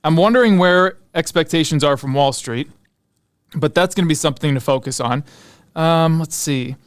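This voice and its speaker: A man with a slightly high, very clean and smooth voice hosts a stock breakdown. He talks informally and unscripted, reasoning out loud and muttering asides to himself. The sound is dry and close. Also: moderate pace, dry recording. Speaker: host of a stock analysis show. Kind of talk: casual unscripted stock commentary.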